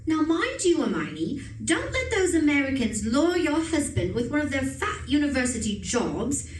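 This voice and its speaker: Lady doing a gushing tea party friend, super high voice, old radio play, far off and echoey. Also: very animated, moderate pace. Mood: gushing, insistent. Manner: effusive, emphatic. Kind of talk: old-time radio suspense acting. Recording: echoey room, distant mic.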